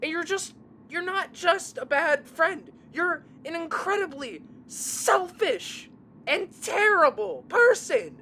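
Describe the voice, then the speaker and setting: A woman playing a hurt, betrayed young friend in an audio drama, emotional and confrontational with halting delivery. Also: very high voice, animated, pushed and bright, slight background noise, heavily acted. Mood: bitter, furious.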